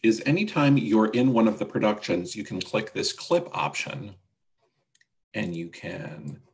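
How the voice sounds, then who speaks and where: A man presenting a casual software walkthrough on a video call, explaining things step by step. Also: on the low side, sing-song, unhurried, vocal fry. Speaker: webinar presenter demonstrating a website.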